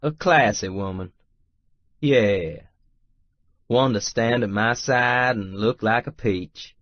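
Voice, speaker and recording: A man narrates first-person fiction at a very slow, unhurried pace. His voice sits fairly low, and the recording is dry and slightly dull. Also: dry recording. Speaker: audiobook narrator.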